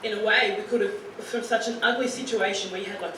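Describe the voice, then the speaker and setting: A woman's voice: mid-range voice, steady tone, fast, sharp tone. Earnest young Australian woman, far-off echo. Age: late teens. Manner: earnest, chatty, with many "you know" and "like" fillers. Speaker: guest speaker to high school students. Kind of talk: informal talk to a student audience. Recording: very echoey room, picked up from far off, faint hiss.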